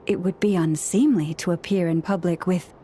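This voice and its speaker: A woman voicing a gentle, dignified priestess with antlers in a video game, speaking in a polite, formal and measured way. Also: lowish voice, steady tone, slight background noise, lightly acted. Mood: polite, composed.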